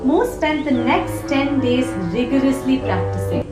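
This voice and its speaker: Narrator: female, high-pitched, scripted children's storytelling, roomy recording over prominent music. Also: lively intonation, a little slow. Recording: echoey room with prominent music.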